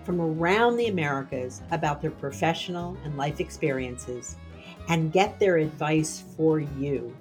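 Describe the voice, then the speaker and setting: A woman's voice: low-pitched, unhurried, a little mellow, soft background music. A woman hosting a podcast, delivering a scripted introduction. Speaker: podcast host.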